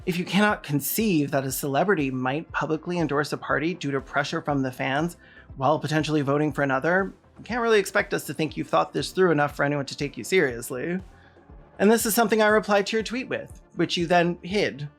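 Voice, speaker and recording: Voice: mid-range voice, brisk, faint music behind. A video commentator delivering scripted political commentary in a sarcastic, mocking manner. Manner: sardonic, mocking. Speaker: video essayist and host.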